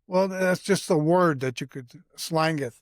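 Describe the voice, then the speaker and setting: An older man with a Brooklyn accent, a podcast guest, telling family stories slowly with many pauses and fillers. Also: on the high side, no room sound, clean studio sound. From Brooklyn, New York. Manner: halting, unhurried storytelling with many fillers. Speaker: guest, host's father. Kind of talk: casual conversation.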